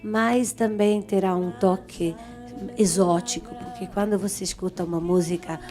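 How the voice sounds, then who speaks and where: A woman with a somewhat low voice talks as a studio guest, full of enthusiasm, pausing now and then to find her words. Faint music plays behind her. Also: steady tone, a little mellow. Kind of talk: interview answers.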